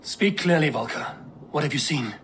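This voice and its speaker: Calm viking chief, firm and even, bit of hiss. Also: male voice, a little high. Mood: resolute. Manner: measured, resolute. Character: steady male viking clan leader. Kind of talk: video game cutscene acting.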